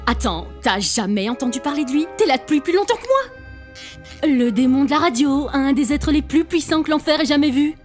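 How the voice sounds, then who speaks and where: A woman's voice: high voice, expressive, brisk, faint music behind. A woman playing a wary, protective young demon in a cartoon fan dub, earnest and dramatic. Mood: ominous, dramatic.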